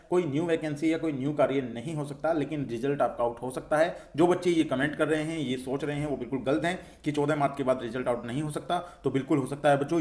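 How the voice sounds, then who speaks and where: Man, quick pace, slightly high pitch, informal exam coach, repetitive question-and-answer explaining. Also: darkish tone. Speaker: exam-update coach and channel host. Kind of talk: informal explainer addressed to students. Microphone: voice-over for a screen recording.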